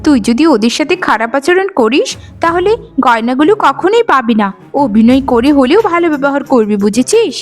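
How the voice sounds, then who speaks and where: Woman acting a scheming, high-voiced young cartoon sister. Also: big pitch swings, fairly quick. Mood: cunning, bossy. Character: scheming, bossy young sister plotting against old woman. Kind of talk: cartoon moral-story dialogue. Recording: music under the voice.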